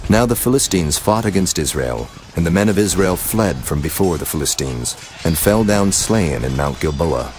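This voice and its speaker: A man narrating scripture solemnly from a script in a measured, formal manner. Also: low voice, expressive, a little mellow, soft background music.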